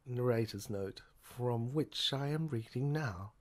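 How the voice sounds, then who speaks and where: A woman narrating a scripted audio play in a deliberate, theatrical storytelling manner. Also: a bass voice.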